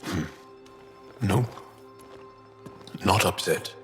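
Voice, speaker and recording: Game alien: low-voiced, contemplative, awestruck yet calm, measured delivery, music underneath. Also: male voice. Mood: wry calm. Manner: measured, reflective, deliberate pauses. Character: calm, awestruck male alien philosopher, thoughtful and hopeful. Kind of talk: video game dialogue acting.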